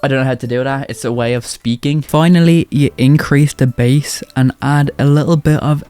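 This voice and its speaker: A man hosting casual video commentary in an animated way, his pitch leaping up whenever he makes a point. His voice sits at an everyday middle pitch. Faint music plays under him. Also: moderate pace, clean tone, no room sound. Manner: animated, jumps up in pitch for emphasis. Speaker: YouTube commentator.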